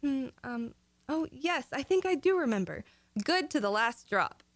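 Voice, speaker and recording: A woman's voice: high-pitched, big pitch swings, normal speed, no echo. A naive housewife acted by a woman in an old-time radio comedy. She is chatty and earnestly muddled, with a scatterbrained innocence, recorded dry and close. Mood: innocent, chatty. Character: naive, scatterbrained, chirpy young-ish housewife who garbles words.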